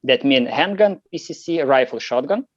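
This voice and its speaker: Lithuanian-accented man, halting, muffled audio. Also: medium pitch, animated, dry recording. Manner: halting, many fillers and restarts. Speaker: competitive shooter guest. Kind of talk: podcast interview answers.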